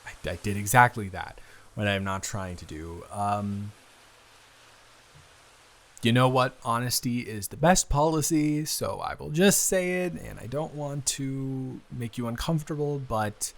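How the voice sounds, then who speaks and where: A man playing an awkward, earnest young man in an improvised intimate roleplay, hesitant, rambling and quick to apologize. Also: medium pitch, creaky, a bit bright. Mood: flustered, apologetic. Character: awkward, earnest, smitten young man, best friend's brother.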